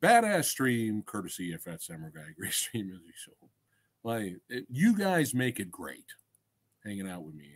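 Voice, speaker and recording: A middle-aged man with a husky voice and a very lively, swinging pitch. He hosts a casual livestream, chatting to viewers in enthusiastic slang peppered with swearing. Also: moderate pace. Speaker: livestream host and film reviewer. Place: small indoor room crammed with collectibles. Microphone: desk microphone close in front.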